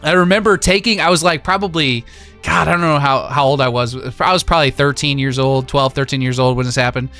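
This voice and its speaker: A man's voice: fairly high pitch, a little fast, sharp tone, faint music behind. A man in his thirties casually chatting about video games on a live stream, reminiscing enthusiastically in slangy, informal speech.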